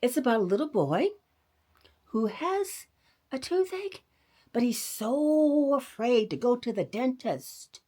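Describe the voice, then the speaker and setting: A woman storyteller hosting a read-aloud for children, talking directly to her young listeners in an expressive, animated way. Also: on the high side, slow pace, slightly rough.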